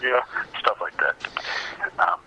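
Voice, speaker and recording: Man, very sharp bright voice, middle pitch, halting storyteller, casual interview, muffled lo-fi sound. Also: animated, slight background noise. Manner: halting, rambling anecdotal delivery. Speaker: podcast guest, comics researcher.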